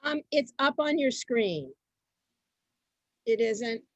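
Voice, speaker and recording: A woman hosting an online event over a video call, chatting informally and haltingly, often repeating her phrases. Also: a little high, animated, dry recording, muffled recording. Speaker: host of an online reader's theater event.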